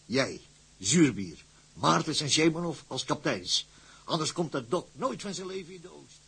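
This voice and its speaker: A man playing a gruff, wary tugboat company director in an audio drama, plain-spoken, with a gravelly, very husky voice. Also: fairly high pitch, expressive, moderate pace, a bit bright, a little hiss or hum. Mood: reluctant. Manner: cautious, warning, plain-spoken. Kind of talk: Dutch audio drama acting.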